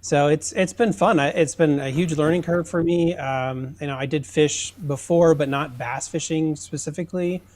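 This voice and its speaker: A man's voice: fairly high pitch, normal speed, hardly any pauses, darkish tone. A man chatting casually as a guest on a podcast, talking as a sponsor and hobby angler. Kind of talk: casual chat.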